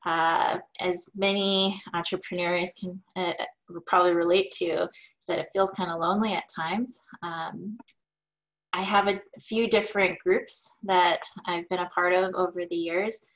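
A woman's voice: fairly low pitch, steady tone, a little slow, fairly bright tone. A woman in her forties, a small business owner, answering interview questions over a video call from her home office in an upbeat, thoughtful way with some fillers. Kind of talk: conversational Q&A answers. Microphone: video call, webcam. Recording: dull lo-fi video call audio.

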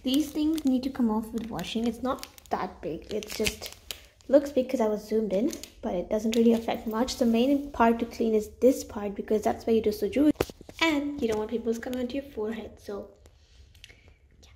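Young woman vlogger, bright higher voice, chatty how-to with murmured asides, roomy bare-walled echo, faint hiss. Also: normal speed. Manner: chatty and instructive, dropping to quiet asides while working. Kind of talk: clean-with-me tutorial. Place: small carpeted room indoors. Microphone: camera at arm's length.

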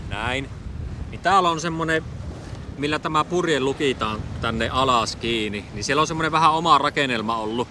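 A man's voice: fairly high pitch, bright, forceful tone. A middle-aged man from Finland casually narrating his sailing trip to an action camera, thinking aloud with plenty of fillers. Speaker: sailing vlogger. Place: outdoors on a sailboat cockpit under a canopy. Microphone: action camera mounted on the boat. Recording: wind and water noise behind.

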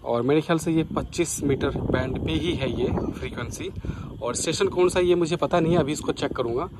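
A man's voice: fairly high pitch, a little fast, heavy background noise. A man giving casual vlog commentary as a radio hobbyist.

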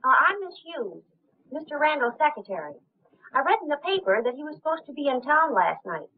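An actress playing a brisk, inquisitive young secretary in a 1930s film, polite and matter-of-fact. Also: female voice, high-pitched, big pitch swings. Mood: businesslike. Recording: noisy, tinny 1930s film soundtrack.

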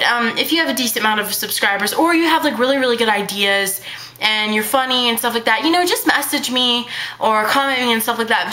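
A teenage girl vlogging to her webcam in her bedroom, talking fast and hyper in a childlike baby voice, full of fillers. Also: on the high side, bright, forceful tone. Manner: bubbly, hyper, chatty with many fillers. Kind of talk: casual chat to camera. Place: small bedroom indoors. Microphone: webcam at arm's length.

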